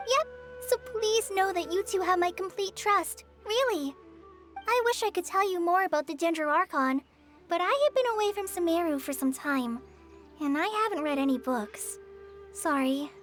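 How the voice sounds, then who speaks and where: High-voiced, shy teenage girl. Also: expressive, normal speed, soft background music. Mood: earnest. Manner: hesitant, polite, apologetic. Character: shy, earnest, apologetic teenage girl forest ranger trainee. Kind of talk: anime-style video game voice acting.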